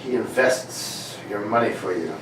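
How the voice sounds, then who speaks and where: A man's voice: on the low side, measured pace, voice pushed down, lightly acted. Man playing a gloating police inspector, very echoey. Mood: smug, explaining. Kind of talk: old-time radio play reading. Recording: very echoey room, distant mic, noise.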